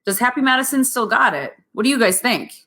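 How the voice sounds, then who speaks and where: A woman's voice: on the high side, expressive, quick pace, a bit bright. A woman hosting a podcast in casual banter, brash and emphatic with a salty tongue. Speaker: podcast host. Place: a friend's house.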